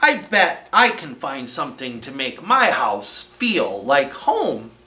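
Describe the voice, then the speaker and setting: A man's voice: medium pitch, wildly swooping pitch, takes it slowly, pushed and bright, voice pushed down, heavily acted. A man voicing a tiny, eager, wide-eyed storybook critter in a wondering, exclamatory manner. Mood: excited wonder. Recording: roomy, echoing room sound.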